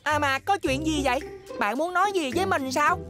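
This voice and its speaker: A woman voicing a vain, easily flattered young schoolboy in a children's cartoon dub. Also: high-pitched, fairly quick, close to an everyday voice. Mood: puzzled, pleased. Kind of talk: animated children's cartoon dubbing. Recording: loud music behind the voice.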